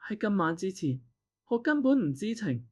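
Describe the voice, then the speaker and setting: A man's voice: high-pitched, big pitch swings, moderate pace, breathy edge, exaggerated voice. A man acting a resentful jilted lover brooding over lost love in an audio drama.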